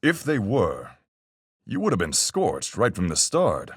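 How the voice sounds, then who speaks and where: A deep-voiced seafaring man in a detective game, calm and methodical. His husky voice moves freely up and down as he argues in folksy sailor speech. The recording is dry and close. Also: no echo, full character voice. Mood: measured, reasoning. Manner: folksy nautical speech, measured and reasoning. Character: calm seafaring man, salty sailor-sleuth reasoning through a murder. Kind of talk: video game voice acting, deliberate argument.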